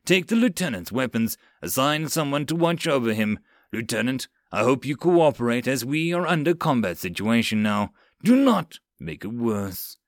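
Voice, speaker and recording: A man's voice: medium pitch, big pitch swings, normal speed, hardly any pauses, no echo, clean studio sound, lightly acted. A man voicing a level-headed male Marine sergeant in a military fantasy audiobook, barking firm orders with exasperation. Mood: commanding.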